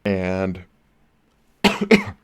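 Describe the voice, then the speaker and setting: A man in his forties hosting a casual live stream about movies, talking in a rambling way with lots of ums and restarts, his voice a bit lower than usual. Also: very animated, drawn-out words, clear, resonant tone. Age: 40s. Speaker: movie channel host.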